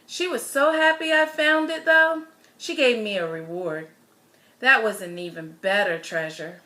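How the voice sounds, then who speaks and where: Woman, higher-pitched, crisp bright tone, children's storyteller, expressive read-aloud, deliberate phrasing, stressed words.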